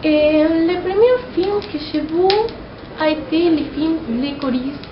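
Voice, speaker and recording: High-voiced teenage girl in an echoey room. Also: slow pace, music in the background. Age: teens, 17. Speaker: student of French. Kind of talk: prepared personal testimony in French as a learner. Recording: muffled, echoey, noisy amateur recording.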